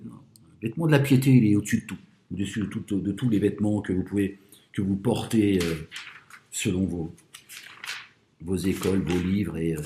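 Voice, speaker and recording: A man commenting on scripture to an audience, reading verses slowly in French and Arabic, polemical and repeatedly asking "d'accord?". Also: low voice, mellow, reverberant. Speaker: religious commentator. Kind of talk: speaking to an audience about scripture.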